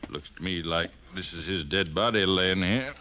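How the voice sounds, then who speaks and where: An actor playing a folksy, stern, self-assured American small-town police chief in a 1950s radio drama. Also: male voice, deep voice, moderate pace, background noise, lightly acted. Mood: accusing.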